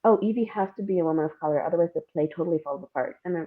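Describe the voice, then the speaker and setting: A woman's voice: lowish voice, very fast. A woman guest talking casually and candidly in an interview, thinking aloud in an informal way. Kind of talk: casual reflective interview talk. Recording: narrow, phone-like call audio.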